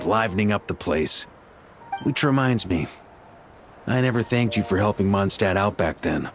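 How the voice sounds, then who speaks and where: An actor playing a relaxed, friendly knight commander who talks in a reflective way. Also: male voice, on the low side. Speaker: knight back from a long expedition. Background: soft game music.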